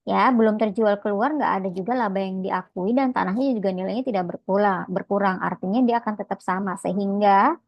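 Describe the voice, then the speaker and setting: A woman's voice: medium pitch, a little fast, creaky, no room sound, dull audio. A woman lecturing informally on accounting step by step, conversational and often checking in with short tags.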